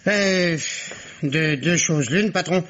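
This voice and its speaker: An actor playing a muddled police subordinate who halts and stammers over words in a French vintage radio mystery. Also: male voice, a little high, slow pace, a bit bright. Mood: fumbling, pondering. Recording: dull vintage radio recording.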